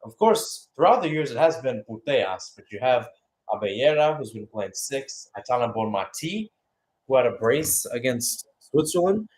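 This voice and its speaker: A man's voice: medium pitch, very animated, moderate pace, darkish tone, rough recording. A man giving live radio-style football play-by-play, mixing calls of the action with conversational, musing analysis. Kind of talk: live radio sports commentary.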